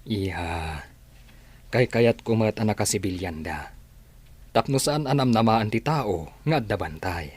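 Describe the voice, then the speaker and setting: An actor playing a gentle middle-aged father who soothes his daughter, in a radio drama. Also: fairly low pitch, animated, a little slow, husky. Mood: reassuring.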